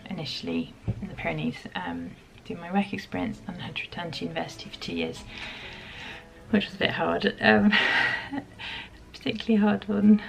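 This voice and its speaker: A woman in her thirties from the UK, chatting reflectively to camera in a home vlog, pausing often with ums. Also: medium pitch, gravelly, rough recording. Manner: reflective, soft-spoken, many pauses and ums. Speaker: vlogger. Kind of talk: casual chat to camera.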